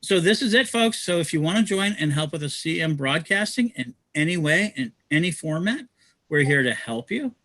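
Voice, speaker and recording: A man's voice: a little high, animated, normal speed, fairly bright tone, no room sound. A man hosting a livestream, who talks about community projects in a rambling, enthusiastic way. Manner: rambling, enthusiastic, many restarts. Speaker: host. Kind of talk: promotional livestream talk.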